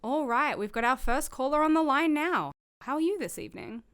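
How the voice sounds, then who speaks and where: Lively woman as a friendly space radio co-host. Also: high-pitched, a little fast. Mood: upbeat. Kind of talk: science fiction audio drama radio show.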